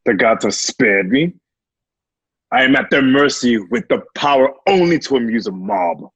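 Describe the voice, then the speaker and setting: A man playing a bitter, grieving, distrustful Roman gladiator in an amateur radio drama, gravelly and husky. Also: medium pitch, animated, normal speed, fairly bright tone, no room sound, lo-fi sound. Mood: bitter, resigned.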